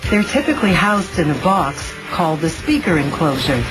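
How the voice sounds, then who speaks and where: A woman's voice: low-pitched, big pitch swings, moderate pace, poor audio. Woman narrating a documentary over music. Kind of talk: scripted explanatory narration. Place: studio voice-over. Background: background music.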